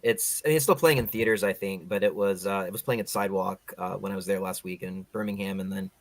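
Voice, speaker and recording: A man's voice: sits low, steady tone, fast, dry recording. A man hosting a podcast, chatting casually with guests in a rambling, conversational way. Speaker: podcast host interviewer. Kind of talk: casual interview chat.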